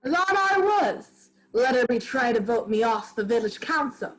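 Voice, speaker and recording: Woman playing a high-pitched, swooping mad village villain. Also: big pitch swings, a little slow, rough recording. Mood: gloating. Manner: gloating, defiant, rustic speech. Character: mad, power-hungry village postman and bell-ringer, male villain. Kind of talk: 1940s-style radio play acting.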